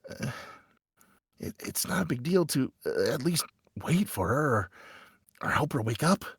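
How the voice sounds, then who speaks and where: A man playing an anguished teenage schoolboy who narrates a visual novel fan dub in a halting, emotionally raw way. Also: medium pitch, slightly rough, dry recording, clean studio sound, exaggerated voice. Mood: flustered.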